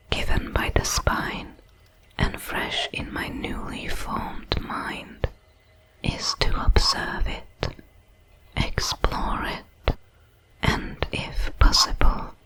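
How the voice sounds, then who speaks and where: A woman voicing a curious, solemn alien creature, a shard of a hive mind, in a whispered, halting ASMR sci-fi roleplay. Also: a bit bright, poor audio. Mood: solemn wonder. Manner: slow, deliberate, halting over its words.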